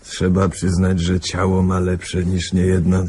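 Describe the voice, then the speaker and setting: An actor playing a blunt, excitable police detective in a radio drama, colloquial and repetitive. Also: male voice, deep voice, unhurried, a little hiss or hum. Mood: curious, excited. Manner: colloquial, repeats words when excited.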